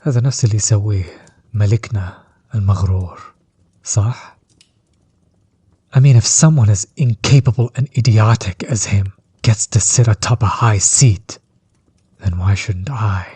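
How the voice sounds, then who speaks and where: A man playing a smug, bitter villain overlord in a roleplay audio drama, mocking and condescending, with a husky, breathy voice. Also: lowish voice, dark tone, no room sound. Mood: smug, musing. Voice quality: husky, breathy, often dropping toward a whisper. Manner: mocking, condescending, drawn-out theatrical delivery. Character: smug, bitter male villain overlord, grudge against old companion. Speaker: voice actor.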